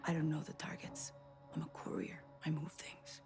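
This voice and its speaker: Whispering, creaky cartel woman. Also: low-pitched, monotone, normal speed, music in the background. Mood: defiant, guarded. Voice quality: breathy, creaky near-whisper. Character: defiant cartel woman courier bargaining under interrogation. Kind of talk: video game drama acting.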